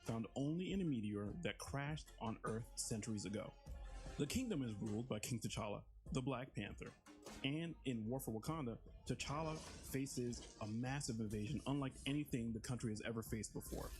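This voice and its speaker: A man's voice: medium pitch, moderate pace. A man presents in a scripted promotional style, speaking as a member of a game's writing team. Music plays behind his voice. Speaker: video game narrative writer presenting an expansion. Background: background music.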